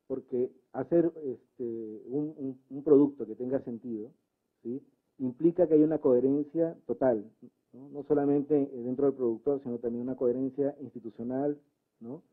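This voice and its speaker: A man speaking in a debate, reflecting aloud in a halting way with frequent tag questions. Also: mid-range voice. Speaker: debate participant working with community radios. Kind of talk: spontaneous reflective discussion. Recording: narrow, phone-like debate recording.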